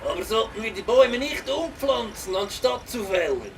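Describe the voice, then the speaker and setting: A man's voice: high-pitched, expressive, normal speed, vocal fry, distant mic, noisy background. A man playing a doubtful, submissive construction worker in a children's audio drama, hesitant and deferential.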